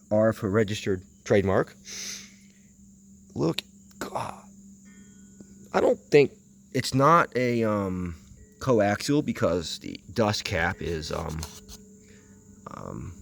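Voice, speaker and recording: A man's voice: on the low side, expressive, slow, creaky, long pauses. A man giving unscripted hands-on commentary as he inspects something, rambling hesitantly and muttering to himself. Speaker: vintage audio enthusiast presenting a video.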